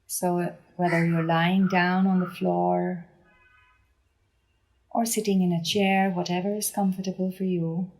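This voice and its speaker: Slow, soft-toned woman guiding meditation. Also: medium pitch, low-quality recording. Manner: gentle, unhurried, with deliberate pauses. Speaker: meditation guide. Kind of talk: guided body scan meditation.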